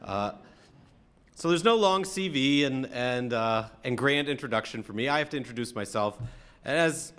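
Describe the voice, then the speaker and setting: An American man from Atlanta speaking as a rabbi, giving a conversational, reflective sermon with frequent halting pauses. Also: lowish voice, fairly bright tone. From Atlanta, American South. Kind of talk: sermon, conversational talk to congregation.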